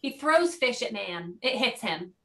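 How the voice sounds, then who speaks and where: Bright-voiced woman reading stage directions. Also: a little high, sing-song, a little slow. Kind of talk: scripted narration.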